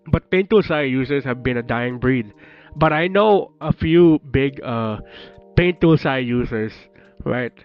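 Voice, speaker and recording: A young Filipino-accented man talks slowly and easily with his stream chat, laughing a lot and teasing viewers with playful curses. He sounds a little sick. The audio is dull and lo-fi, with soft music underneath. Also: mid-range voice. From Philippines. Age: 20s. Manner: laughs often, teasing and jokingly profane. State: slightly sick.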